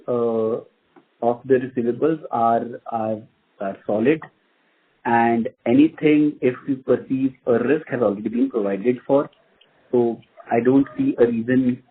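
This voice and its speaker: Husky Indian man on a phone line. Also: medium pitch, a little slow. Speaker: company finance executive on an earnings call. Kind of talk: answering investor questions on a conference call. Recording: muffled narrow phone-line audio.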